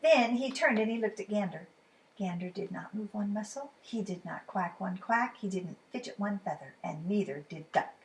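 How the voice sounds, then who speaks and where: A woman reading a picture book aloud to children in a dramatic, expressive way, at times dropping to a hush. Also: medium pitch, normal speed, a little hiss or hum, low-quality recording. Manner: dramatic, dropping to hushed whispers. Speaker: children's storytime reader.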